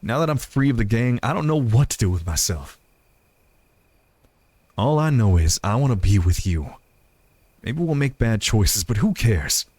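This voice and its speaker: A man's voice: medium pitch, very animated, moderate pace, long pauses, a little mellow, dry recording. A man voicing a tender, reassuring cowboy outlaw with a folksy Western drawl in a visual novel.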